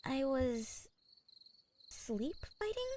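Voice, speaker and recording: A woman voicing an earnest, guilt-ridden young huntress girl in an audio drama, halting and emotional. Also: high-pitched, big pitch swings, background noise, heavily acted. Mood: confused. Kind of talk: dramatic fan-fiction voice acting.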